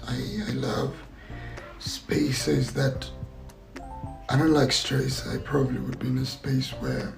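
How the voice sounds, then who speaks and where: Guy in an interview, really gravelly voice, thinks out loud and keeps restarting, bit of music underneath. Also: medium pitch, low-quality recording. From Zambia. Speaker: actor and filmmaker guest. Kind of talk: interview answers.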